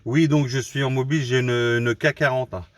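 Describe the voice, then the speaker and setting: A man's voice: mid-range voice, fairly even intonation, moderate pace, dry recording. A man from Normandy chatting casually and politely as an amateur radio operator, often repeating his phrases. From Normandy, France. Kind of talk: casual radio contact chat. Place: mobile radio station.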